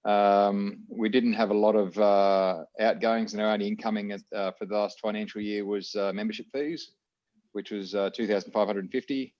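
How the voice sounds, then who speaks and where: An Australian man giving a treasurer's report at an online meeting, halting with many fillers. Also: on the low side, steady tone, a little mellow, lo-fi sound.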